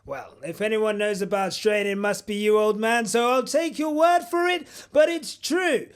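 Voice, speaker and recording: A man's voice: high voice, normal speed, clear, resonant tone. A man hosting a parody news segment, delivering it in a mock-pompous, boastful way with jokey asides. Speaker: comedic news host.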